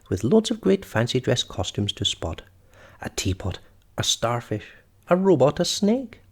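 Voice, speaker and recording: A man reading a children's story aloud expressively, as storyteller and host. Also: medium pitch.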